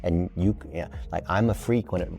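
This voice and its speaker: A man's voice: low voice, a little fast, warm tone, faint music behind. A man in his early fifties explaining things at length in an enthusiastic, earnest, emphatic conversational way. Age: early 50s.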